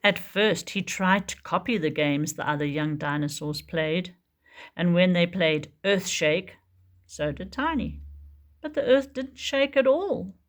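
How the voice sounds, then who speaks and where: A woman teacher reading a picture book aloud for young children in an expressive storytelling manner. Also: fairly low pitch, measured pace. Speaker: preschool teacher.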